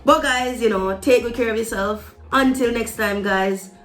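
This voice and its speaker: Higher-voiced Jamaican woman in an echoey room. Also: normal speed, fairly bright tone. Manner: emphatic, repeats phrases for emphasis. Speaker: vlogger. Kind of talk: casual opinion monologue to viewers. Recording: echoey room with music behind. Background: background music.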